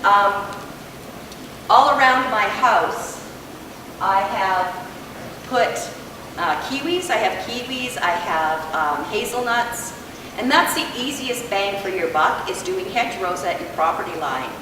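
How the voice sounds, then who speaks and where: Older woman presenter, loud and chatty, mid pitch, distant mic in a reverberant hall. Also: animated, slow pace. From Canada. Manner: loud, chatty, many fillers. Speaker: workshop presenter. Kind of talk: casual hands-on talk to an audience. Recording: echoey hall, voice far from mic, background noise.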